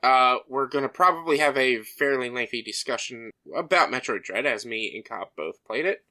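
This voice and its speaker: A man's voice: medium pitch, moderate pace, pushed and bright, rough recording. A man co-hosting a podcast, chatting loosely with lots of fillers and running through the night's agenda. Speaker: podcast co-host. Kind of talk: casual chat.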